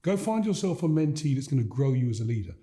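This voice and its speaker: An older man from Gambia speaks as a guest in an interview, measured and emphatic. He stacks his points in repeated phrases. His voice sits mid-range and sounds mellow and warm. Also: mid-range voice, very animated. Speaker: guest, leadership coach. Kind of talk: reflective interview conversation.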